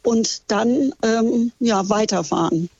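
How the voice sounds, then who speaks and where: A woman's voice: fairly high pitch, slow pace, a little mellow, no room sound. German woman tour guide on a muffled line. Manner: friendly, hesitant with fillers. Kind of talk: phone-in chat. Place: on a ship at sea.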